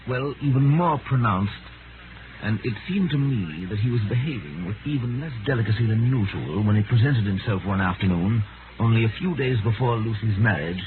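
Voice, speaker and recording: An actor playing a grave gentleman narrator, measured, literary and theatrical, in 1930s radio storytelling style. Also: fairly low pitch, noisy background, lightly acted. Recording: tinny 1930s radio broadcast, loud music.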